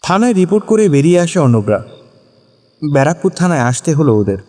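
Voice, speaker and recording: A man's voice: a little high, big pitch swings, fast, warm tone. A man narrating a scripted suspense story dramatically in Bengali. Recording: long reverberant hall echo.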